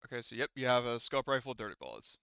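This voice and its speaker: A man running a tabletop roleplaying game as game master, explaining things casually and haltingly with frequent restarts. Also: medium pitch, big pitch swings, vocal fry, pushed and bright, clear, resonant tone, dry recording, highs cut off.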